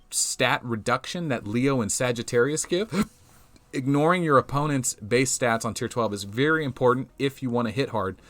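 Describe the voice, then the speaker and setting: A young man, slightly hoarse from losing his voice, giving casual commentary over a mobile game replay and thinking aloud as he goes. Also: medium pitch, fairly bright tone. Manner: rambling, restarts often, thinks aloud. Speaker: game channel host.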